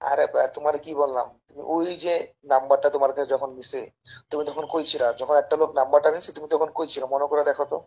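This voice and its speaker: A Bangladeshi man making an informal phone sales pitch in a pushy, persuasive, repetitive way. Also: fairly even intonation, fast, darkish tone, breathy edge, highs cut off. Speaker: caller pitching a service as a tout.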